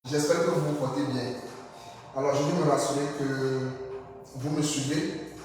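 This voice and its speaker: A man's voice: medium pitch, fairly even intonation, normal speed, darkish tone. A man giving a live trading lesson, repeating himself and checking often whether viewers follow, with French that is not his first language. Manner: repetitive, checks in with audience often. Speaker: trading instructor. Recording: echoey room, far from the mic.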